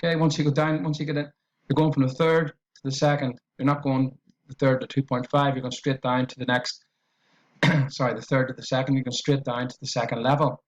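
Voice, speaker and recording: A man's voice: mid-range voice, level tone, brisk, highs cut off. A man with an Irish accent talking through a trading tutorial on screen, in a loose, repetitive way with fillers and restarts. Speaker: trading educator.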